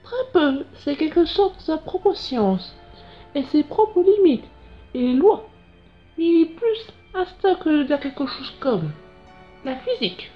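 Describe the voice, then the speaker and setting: A woman's voice: high voice, sing-song, slow pace, full character voice. A woman voicing an earnest, curious scientist in a comic dub, explaining things in a reflective way. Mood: wary, skeptical. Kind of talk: dubbed comic narration and dialogue. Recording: dull lo-fi sound with music behind.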